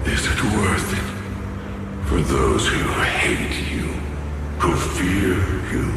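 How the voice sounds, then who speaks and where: A man voicing a menacing, seductive dark spirit in a video game, taunting with theatrical, drawn-out delivery. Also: very deep voice, far from the mic, full character voice. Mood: taunting, scornful. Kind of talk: dramatic video game voice acting. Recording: cavernous reverb, loud music underneath.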